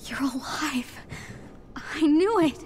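An actress voicing an eager, awkward teenage girl superhero in a video game, excitable and informal. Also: sits high, takes it slowly, a little hiss or hum. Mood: relieved, sheepish. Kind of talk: video game cutscene acting.

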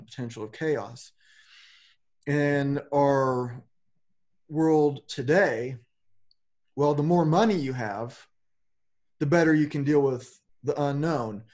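A man in his forties with a fairly high voice talks slowly over a webcam call. He rambles loosely and drops in casual swear words, like a host thinking out loud. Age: 40s. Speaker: podcast host. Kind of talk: casual philosophical conversation. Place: home room with a plain backdrop. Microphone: webcam on a video call.

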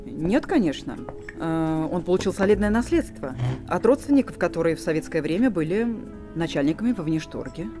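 A woman's voice: lowish voice, expressive, a little fast, lightly acted. Woman playing wounded middle-aged wife, guarded, regretful, brooding TV drama delivery, music underneath. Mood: bitter, guarded. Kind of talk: naturalistic screen acting.

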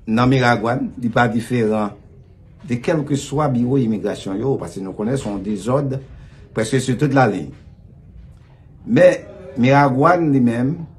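A Haitian man in his fifties speaks as an official giving an interview over a video call. His voice is mid-pitched. He is deliberate and emphatic, repeating key points for weight. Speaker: police official being interviewed. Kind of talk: interview answers mixing Haitian Creole and French.

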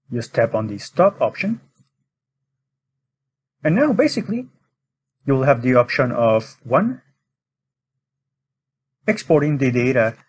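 Husky man narrating a tutorial, lively intonation. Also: medium pitch. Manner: informal, step-by-step explaining. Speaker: product demonstrator. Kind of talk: instructional screen-recording narration.

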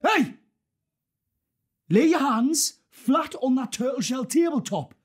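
Sadistic plantation-owner villain acted by a man, threatening, rough voice with big dynamic swings. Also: very high-pitched, expressive, slow pace, full character voice. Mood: explosive rage. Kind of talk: film villain monologue.

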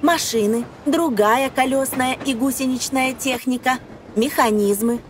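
A woman reading scripted educational narration for young children, phrasing deliberately and putting questions to the listener. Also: high voice, slight background noise. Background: music.

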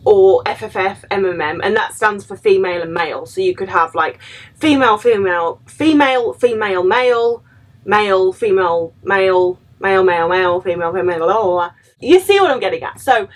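A woman in her thirties, a former cruise ship worker, casually telling stories to camera in a chatty way, full of "like" and quoted asides, from a small room at home. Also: medium pitch.

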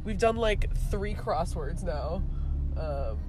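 A woman's voice: fairly low pitch, animated, slow, loud music behind the voice. A young woman chatting casually into her phone, upbeat and laughing between phrases as she records a road trip video diary from the car. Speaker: vlogger filming a road trip. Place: inside a car at night and by day. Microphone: phone held at arm's length.

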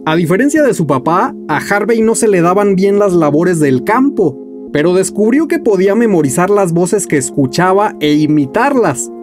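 A man in his thirties hosting a music video, reading a scripted countdown narration with lively delivery. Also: sits high, moderate pace. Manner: lively, announcing countdown entries. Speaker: music journalist and channel host. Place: small room at home, indoors.

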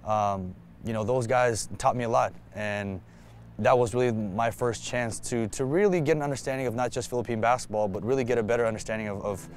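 A man's voice: medium pitch, fairly quick. A man, giving a reflective sit-down interview as a basketball player and often repeating words as he restarts. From United States. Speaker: national team player. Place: indoor arena seats.

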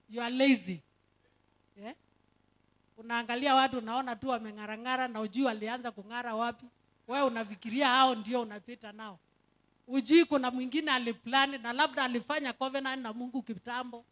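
Kenyan woman preacher, high voice, fervent prayer rhythm, code-switching sermon in church. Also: a bit bright. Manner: switches between Swahili and English, fervent prayer cadence.

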